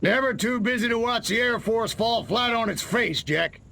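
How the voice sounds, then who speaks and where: Game role: stubborn, scornful general, very high-pitched for a male yet gritty, defensive and proud. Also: normal speed, bright, forceful tone, dry recording, exaggerated voice. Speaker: army general. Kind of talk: video game cutscene acting.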